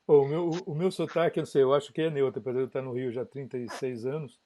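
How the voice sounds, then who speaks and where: Mellow-voiced man chatting about audio tech. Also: medium pitch, steady tone, very fast, slight background noise. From São Paulo state, Brazil. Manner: chatty, explains at length with frequent tag questions. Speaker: guest broadcast audio engineer. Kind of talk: casual technical chat on a live podcast.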